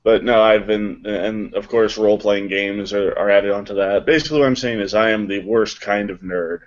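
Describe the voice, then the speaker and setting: A man's voice: on the low side, fairly even intonation, moderate pace, dull audio. A man chatting casually as a panel guest, wry and self-deprecating. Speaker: voice actor on a panel. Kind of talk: casual unscripted panel chat.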